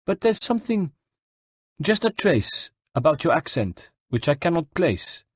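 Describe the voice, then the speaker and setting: A man plays a French guard captain in an audiobook, formal and suspicious. The voice sits well above a usual male pitch and probes officiously, recorded dry. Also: very animated, measured pace, slightly rough, no echo, heavily acted. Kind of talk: dramatised audiobook narration.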